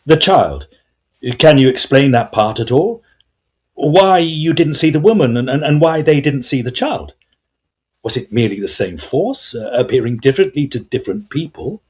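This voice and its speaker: An actor playing a courteous, inquisitive Edwardian investigator, speaking formally in a period audio drama. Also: male voice, medium pitch, expressive, moderate pace, a little mellow, husky. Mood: puzzled, probing. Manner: formal, probing.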